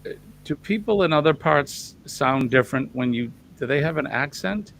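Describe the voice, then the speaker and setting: A man's voice: on the high side, expressive, normal speed, vocal fry, fairly bright tone, a little hiss or hum. A man from the United States co-hosting a casual live chat, laid-back and hesitant, with lots of ums and uhs. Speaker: co-host.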